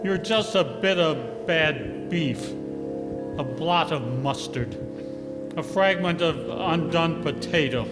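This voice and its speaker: Male actor as jumpy old miser, scornful, stubborn, high-pitched, halting, very drawn-out pacing, reverberant hall, radio drama. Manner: halting, stammering, exclamatory. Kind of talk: 1940s radio play acting. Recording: reverberant hall, loud music.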